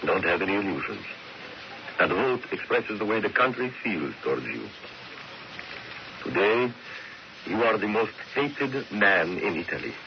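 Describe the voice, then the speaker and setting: A man's voice: lowish voice, big pitch swings, unhurried, gravelly, long pauses, heavily acted. An actor playing a stern, regal king in a radio drama, cold, firm and authoritative. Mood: cold, resolute. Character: stern, regal Italian king dismissing his dictator. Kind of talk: old-time radio drama acting. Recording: muffled, noisy vintage radio recording.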